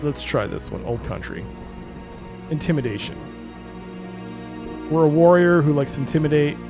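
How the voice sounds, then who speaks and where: A man's voice: fairly high pitch, expressive, moderate pace. A man giving casual gaming commentary, explaining things informally and reading on-screen text aloud. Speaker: gaming video creator. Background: game music.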